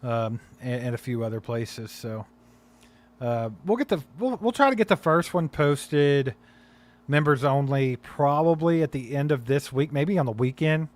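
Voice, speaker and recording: A man's voice: mid-range voice, lively intonation, unhurried. A man co-hosting a casual live sports podcast stream, rambling with many fillers and reading out viewer comments. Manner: rambling, many fillers, reads chat aloud. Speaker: podcast co-host.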